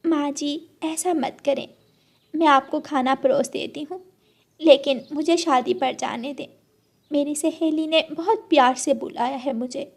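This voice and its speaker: A woman's voice: sits high, steady tone, unhurried, darkish tone, voice pushed up. A woman voicing a meek young daughter-in-law bird in a children's cartoon, polite, submissive and pleading. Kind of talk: Hindi children's cartoon story voice acting.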